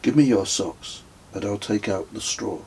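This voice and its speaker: A man voicing a kind, painstaking male fellow walker who speaks softly and carefully. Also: lowish voice, moderate pace, slight background noise, natural voice, rough recording. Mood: gentle.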